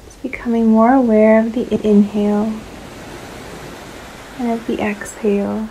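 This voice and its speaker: A woman leading a guided relaxation meditation in a soothing, unhurried manner, leaving long pauses between short phrases. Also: fairly high pitch, little pitch movement, warm tone, a little hiss or hum, rough recording.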